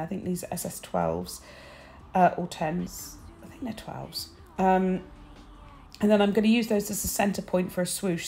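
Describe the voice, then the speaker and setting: A woman with a croaky early-morning voice, chatting bubbly through a nail art tutorial and sharing tips. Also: lowish voice, a little mellow, slight background noise. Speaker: nail technician.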